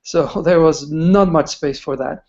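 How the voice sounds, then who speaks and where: A man's voice: fairly high pitch, measured pace, a little mellow. A man sharing a personal story on a webinar, hesitant and searching for words. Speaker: webinar participant. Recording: muffled webinar call audio.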